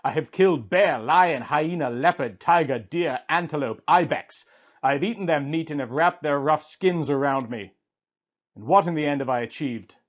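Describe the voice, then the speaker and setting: A man's voice: a little high, moderate pace, muffled recording, natural voice. A man voicing an anguished, weary hero-king mourning in a declamatory, dramatic reading of an ancient epic. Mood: weary, forceful. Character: Gilgamesh, anguished grieving male hero-king, weary wanderer.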